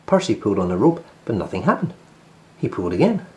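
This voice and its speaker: A man narrating a children's storybook as host, in an expressive read-aloud style. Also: lowish voice, fast, rough recording.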